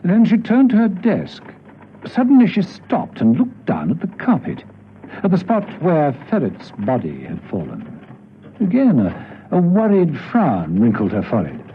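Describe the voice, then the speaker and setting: A man's voice: on the high side, huge pitch swings, unhurried, slight background noise. A man narrating a spy radio serial in a dramatic, scripted storytelling style. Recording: muffled vintage radio recording, music behind. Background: music.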